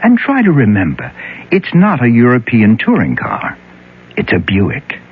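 A male announcer reading a car commercial in a smooth selling manner. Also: mid-range voice, huge pitch swings, unhurried. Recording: tinny old radio ad.

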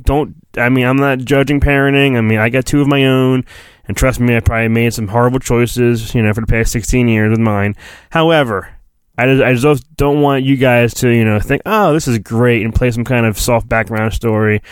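A man's voice: medium pitch, moderate pace, few pauses, clean tone, no echo. A middle-aged man hosting a solo podcast, chatting casually and reading aloud with a joking, self-deprecating manner. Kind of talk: casual rambling solo podcast talk with mock dramatic storybook reading.

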